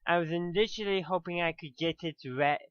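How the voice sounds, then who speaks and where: A man with a fairly high, smooth voice talks casually as a review host. He rambles without a script, often doubling back to reword a thought. The sound is dry and a little muffled. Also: brisk, a bit bright, dry recording. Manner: rambling, backtracks and rephrases often. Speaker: book review channel host. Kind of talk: casual unscripted review chat.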